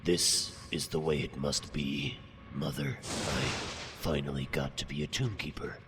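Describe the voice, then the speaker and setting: A man voicing a loyal, solemn servant henchman in a comedic cartoon parody dub, delivered deadpan. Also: extremely low pitch, measured pace, background noise. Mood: earnest.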